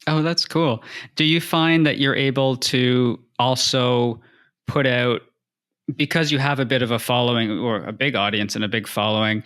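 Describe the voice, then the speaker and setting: Podcast host, man, bright forward voice, rambling questioner. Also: mid-range voice, smooth. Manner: rambling, piles up rephrased questions. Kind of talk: casual interview chat.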